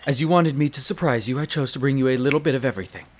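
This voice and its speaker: A man's voice: medium pitch, rapid-fire, noisy background, lightly acted. A man playing an attentive wolf butler in an audio roleplay, speaking politely and formally with deference.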